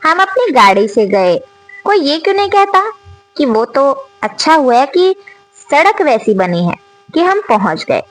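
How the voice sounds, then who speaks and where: A woman telling a reflective moral story in a slow, earnest manner, rising on rhetorical questions as the channel's storyteller. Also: high voice, big pitch swings, soft background music, low-quality recording.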